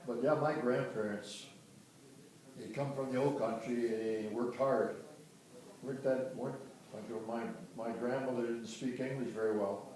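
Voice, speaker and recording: A man's voice: mid-range voice, steady tone, a little mellow. Older man reminiscing, far from the mic. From Dearborn, Michigan. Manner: halting, restarts and corrects himself on dates. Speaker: interviewee recounting family history. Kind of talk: casual family reminiscence. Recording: roomy, distant home recording.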